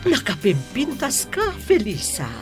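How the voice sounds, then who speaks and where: A woman's voice: a little high, wildly swooping pitch, unhurried. A woman playing a kindly, affectionate mother in a radio drama, with an emotive delivery. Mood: affectionate, happy. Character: kindly, approving mother welcoming her daughter-in-law. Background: faint music.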